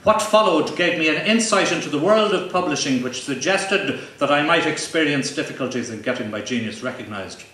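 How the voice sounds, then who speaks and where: A man giving a live comic reading from his novel in a pompous, deadpan, mock-grandiose manner. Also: a little high, brisk, hardly any pauses. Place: live event venue. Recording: roomy, distant live recording with faint noise.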